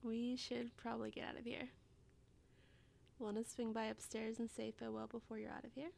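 A woman playing an amorous, playful lover in an intimate adult audio roleplay, breathy and often slipping into whispers. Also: fairly high pitch, level tone, brisk, darkish tone, slight background noise. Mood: playful, winded.

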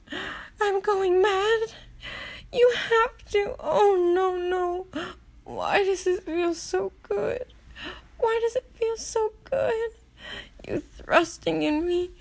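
Woman acting very high-pitched, distressed proud demigoddess. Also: vocal fry, heavily acted. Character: proud, distressed adult demigoddess, a god's daughter. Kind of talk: first-person adult audio roleplay.

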